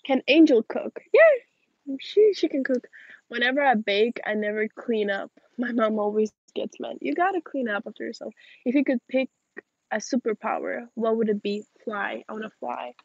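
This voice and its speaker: Higher-voiced young Russian-speaking woman, casual chat. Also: expressive, moderate pace, vocal fry, breathy edge, no room sound, muffled recording. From Moldova. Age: late teens. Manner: informal, chatty. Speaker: livestream host. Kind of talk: casual chat, reading and answering viewer questions. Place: outdoors in a backyard garden. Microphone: phone or camera at arm's length.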